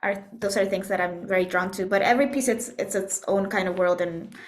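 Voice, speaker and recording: A woman from Puerto Rico speaking as an interview guest, reflective and thoughtful, often pausing to search for words. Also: mid-range voice. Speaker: interview guest, composer and musician. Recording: echoey room sound.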